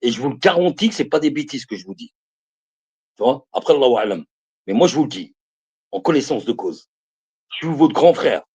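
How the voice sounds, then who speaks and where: Man, 50s, husky, lively pitch; emphatic live-stream preacher who repeats himself. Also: fairly quick, long pauses. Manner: emphatic, repeats phrases, calls listeners brothers and sisters. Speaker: live streamer giving religious advice.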